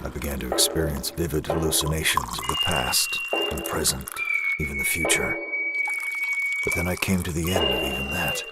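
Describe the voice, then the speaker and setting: A man playing a grim, brooding middle-aged vigilante with a grave, deliberate delivery in a motion comic. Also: extremely low pitch. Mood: haunted, introspective. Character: grim, brooding middle-aged male masked vigilante recounting his ordeal. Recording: loud music under the voice.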